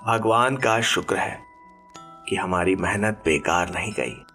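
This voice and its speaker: A man voicing a brave, noble dying man in a horror audiobook, speaking grateful last words solemnly and haltingly. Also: deep voice, sharp tone, faint music behind, heavily acted.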